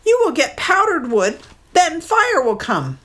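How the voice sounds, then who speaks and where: A woman doing a shrill, sly mud hen. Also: sing-song, unhurried, a bit bright, exaggerated voice. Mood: pleading shrill. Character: frightened sly female mud hen bird.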